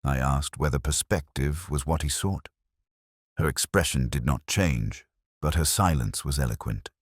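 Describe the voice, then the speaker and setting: A man reading a first-person mystery story as its narrator, in a measured, reflective, unhurried way. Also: very deep voice, darkish tone, no echo, clean studio sound.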